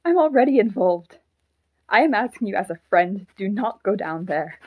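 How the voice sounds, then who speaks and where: Girl doing a sad ghost puppet for an audio drama, kinda low n slow, halting, pleading. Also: fairly even intonation, phone-quality sound. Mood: stern, menacing. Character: sad, clingy haunted marionette, ghost of a young boy. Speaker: voice actor in a fan audio drama.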